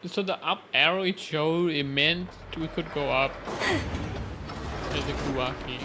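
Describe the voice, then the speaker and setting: A man's voice: on the high side, measured pace. A man giving casual gameplay commentary, muttering offhandedly to himself as he plays. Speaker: game streamer. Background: game music.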